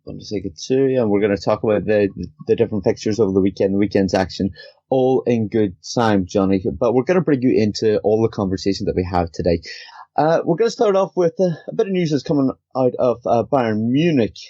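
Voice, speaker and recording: A man's voice: on the low side, lively intonation, brisk, warm tone, no room sound. Man hosting a football podcast, dry muffled sound. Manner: conversational, steering questions to co-panellists. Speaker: podcast host. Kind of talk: casual football podcast discussion. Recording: slightly dull, dry audio.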